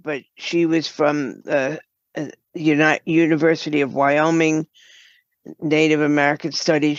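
Female podcast guest, very slow and halting delivery, dull lo-fi sound. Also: deep voice, no room sound. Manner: halting, with broken-off sentences. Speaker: guest, writer and audio drama producer. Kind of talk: podcast interview, explaining her work.

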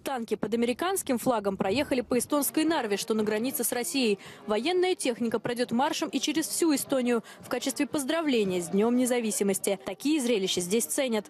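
A young woman reading a scripted news report as a correspondent, brisk and pointed. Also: fairly high pitch, lively intonation, a little mellow.

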